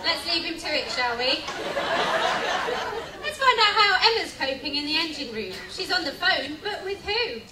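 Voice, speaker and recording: A woman gives playful scripted narration for a live comic radio play. Her voice is high and swings animatedly. She sounds some way from the mic, with a loud crowd around her. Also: sing-song, normal speed. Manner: reads out sound cues, playful. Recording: distant, echoey, loud crowd noise.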